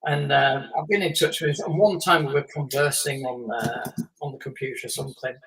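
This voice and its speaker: A man's voice: mid-range voice, fairly even intonation, fairly quick, a bit bright, distant mic, low-quality recording. A man in his late fifties, a guest on a live chat, telling long stories with many fillers and small laughs. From England. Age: 50s. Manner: rambling storyteller with fillers and laughs. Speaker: guest, former racing driver.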